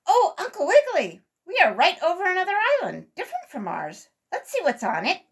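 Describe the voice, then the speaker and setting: An excitable young rabbit boy from a storybook, acted out by a woman reader. The voice is high and swings widely, with dramatic exclamations. He sounds eager and brave. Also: a little fast, heavily acted. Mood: excited.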